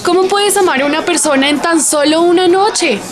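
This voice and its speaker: A woman playing an incredulous, scolding schoolgirl friend in a radio drama, indignant and rapid. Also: very high voice, crowd noise. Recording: music under the voice.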